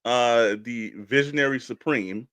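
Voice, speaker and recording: A man's voice: medium pitch, measured pace, fairly bright tone, clean tone, no room sound. A man co-hosting a casual live show, hyping up guests in a folksy, effusive way and repeating phrases for emphasis. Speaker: podcast co-host.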